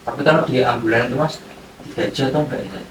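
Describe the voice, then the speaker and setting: A man's voice: medium pitch, racing. A man, hosting a podcast with casual, chatty questions. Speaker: podcast host. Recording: echoey and distant, faint noise.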